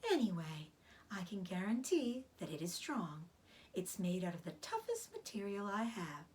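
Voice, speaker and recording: A woman voicing a weary, gentle, aging female spider from a children's storybook, speaking in a weak, soft half-whisper with quiet pride. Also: medium pitch, sing-song, lightly acted. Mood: weary, assured. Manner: measured, subdued. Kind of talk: children's storybook read-aloud.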